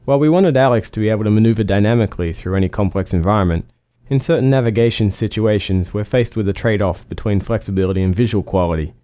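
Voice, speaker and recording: A man's voice: fairly low pitch, quick pace, dark tone. A man who is a game developer, reading scripted technical commentary.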